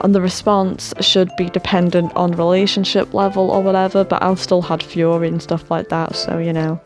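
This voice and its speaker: A woman's voice: on the low side, a little mellow. Woman rambling, tired voice, faint music behind. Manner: chatty, self-deprecating, trails off into fillers. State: voice tiring, throat sore. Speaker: gaming channel host. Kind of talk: rambling casual commentary.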